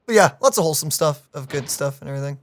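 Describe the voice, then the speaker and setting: A woman's voice: sits low, racing. A young woman giving casual, slangy, reactive commentary on a video game, with game music in the background. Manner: animated, slangy exclamations. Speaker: gaming streamer.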